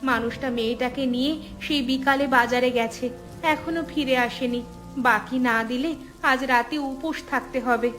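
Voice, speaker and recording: High-voiced, poor village wife played by a woman. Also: fairly even intonation, normal speed, fairly bright tone, faint music behind. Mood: pleading, despairing. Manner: pleading, plaintive, caring. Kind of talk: animated folk-tale cartoon dialogue.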